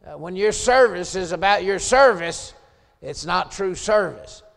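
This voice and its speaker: A gravelly-voiced Southern preacher in his late forties, pitched a little higher than most men. He swings between low, chatty asides and loud, hollered lines of scripture. The sound rings through a church with a long echo. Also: animated, measured pace. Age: 40s. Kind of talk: Southern revival sermon. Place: church sanctuary.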